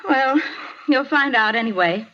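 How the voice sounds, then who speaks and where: Cheap-hotel manager, American, talkative and self-righteous, pushy, rather high pitch, muffled vintage radio play sound. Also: female voice, lively intonation, measured pace. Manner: insistent, folksy, talkative. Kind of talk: 1950s radio drama acting. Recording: dull, noisy 1950s radio recording.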